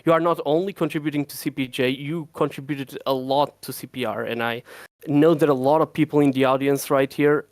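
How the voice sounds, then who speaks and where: A man co-hosting a casual livestream Q&A for his game, talking in an excitable rush, repeating words and laughing often. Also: mid-range voice, a little fast, smooth, dry recording, clean studio sound. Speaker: game developer co-host.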